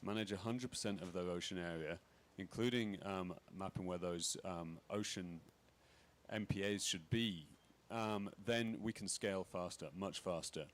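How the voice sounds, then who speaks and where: Halting deep-voiced man on a panel. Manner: halting, many fillers and restarts. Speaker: panel speaker. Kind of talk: conference panel remarks. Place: conference pavilion.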